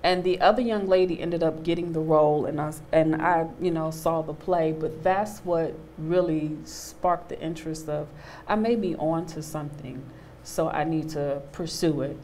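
A woman in her thirties with a low voice tells a story on a studio panel stage. She brings her tale to life by acting out the people she quotes. Her lapel mic catches a faint hum of the room. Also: normal speed, mellow. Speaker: panel guest, actor. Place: studio stage with a small audience.

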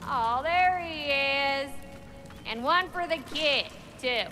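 A woman playing a warm, teasing comrade in a video game, folksy and playful. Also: high-pitched, slow. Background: music.

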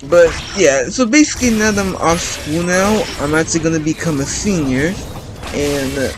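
एक किशोर लड़का, जो गेम खेलते हुए बिखरी, बातूनी कमेंट्री करता है, बार-बार "um" कहकर वाक्य दोबारा शुरू करता है, पीछे गेम का संगीत साफ़ बजता है।. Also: male voice, on the high side, animated, moderate pace, poor audio. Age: teens. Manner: rambling, chatty, many restarts and ums. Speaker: gameplay commentator. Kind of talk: casual let's-play commentary. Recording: game music playing underneath.

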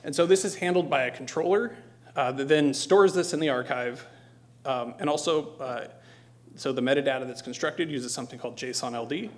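A middle-aged man presenting technical material as a conference speaker, hesitant, with frequent ums and pauses. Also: mid-range voice. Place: lecture hall stage.

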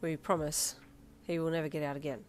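A woman voicing a kind male police officer who speaks slowly and reassuringly. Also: sits low, flat delivery, slight background noise, lightly acted.

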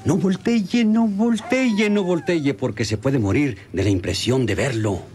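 A man's voice: fairly high pitch, unhurried, a little mellow, close to an everyday voice. An actor playing a frightened, superstitious ranch man with a rural Mexican accent, stammering and repeating himself in a radio drama. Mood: panicked. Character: frightened, confused, superstitious ranch man, self-styled macho. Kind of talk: radionovela acting.

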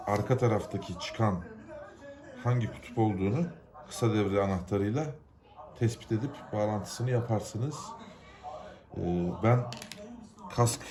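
A man from Turkey gives an informal, unscripted how-to, explaining step by step in plain, colloquial words. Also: on the low side. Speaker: hobbyist giving a DIY repair tutorial.

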